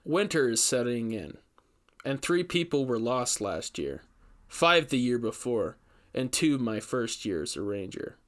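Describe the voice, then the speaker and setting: A man's voice: medium pitch, very animated, measured pace. A man reading as a grave young forest ranger. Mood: haunted, earnest. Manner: thoughtful, hesitant, grave. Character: troubled, earnest young male forest ranger.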